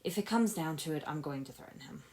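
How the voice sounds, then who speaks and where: An earnest young ninja hero, read by a woman in a low voice. He is shrewd and a little rueful as he explains himself in an adventure story. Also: expressive, quick pace, darkish tone. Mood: rueful, serious.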